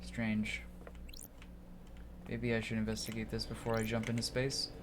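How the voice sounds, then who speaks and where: A man's voice: lowish voice, monotone, moderate pace, background noise. A man streaming a game, reading text aloud and muttering asides to himself as he plays. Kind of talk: live playthrough commentary, reading game dialogue aloud.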